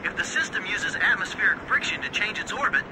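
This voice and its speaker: A high-pitched male launch crewman talks casually over game radio. There is clear aircraft noise around the voice. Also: big pitch swings, brisk. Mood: curious. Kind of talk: video game radio chatter acting.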